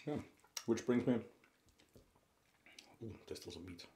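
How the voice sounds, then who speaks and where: A man's voice: on the low side, animated, a little slow, warm tone, slight background noise. A man from Austria with a Viennese accent tinged with Scottish, hosting an ASMR eating video and chatting casually between bites. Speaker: mukbang host.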